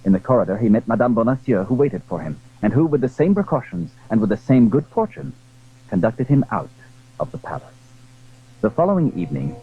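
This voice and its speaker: A man's voice: medium pitch, husky. A man narrating a literary drama in a formal, scripted storytelling style. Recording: very muffled, lo-fi old recording.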